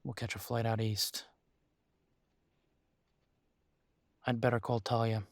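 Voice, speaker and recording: A man's voice: mid-range voice, flat delivery, no room sound, natural voice. Man acting a weary, exasperated werewolf boyfriend. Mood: resigned, decided. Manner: exasperated, halting, sighing delivery. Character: weary, conflicted male werewolf arguing tenderly with his mate. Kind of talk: intimate roleplay audio drama.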